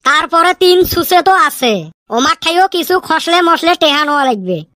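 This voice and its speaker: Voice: very high voice, expressive, moderate pace, bright, forceful tone, dry recording, clean studio sound, full character voice. An actor playing a boastful cartoon village man who dreams of riches, speaking in a drawling northern Bengal rural dialect. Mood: excited, scheming. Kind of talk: comic cartoon dialogue.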